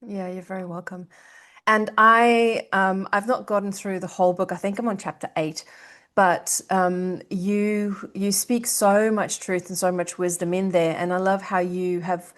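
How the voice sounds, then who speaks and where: A woman's voice: mid-range voice, fairly even intonation, measured pace, fairly bright tone. A woman hosting a podcast, gushing and effusive, with lots of hesitant fillers. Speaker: podcast host. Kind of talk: casual podcast interview.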